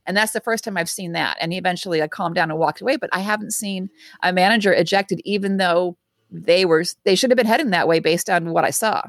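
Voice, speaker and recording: Podcast co-host, woman, wry storyteller, mid pitch, dry close sound. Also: quick pace, dry recording. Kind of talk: casual podcast chat.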